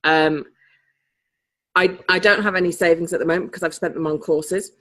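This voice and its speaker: A woman's voice: lowish voice, fairly even intonation, normal speed, a bit bright. Woman, chatty, laughing often, video call sound. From Britain. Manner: chatty, laughs often, loses her thread. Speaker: participant in a coaching group call. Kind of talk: casual group video call.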